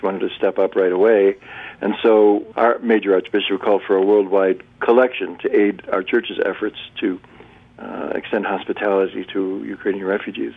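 A man, a bishop and radio guest, speaking in a reflective, halting way with frequent restarts. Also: on the low side, gravelly, no room sound. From United States. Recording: tinny phone-line sound.

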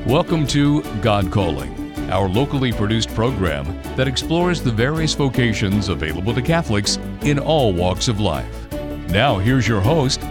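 A man's voice: lowish voice, very animated, moderate pace. A man reading a scripted radio programme introduction as announcer, over music.

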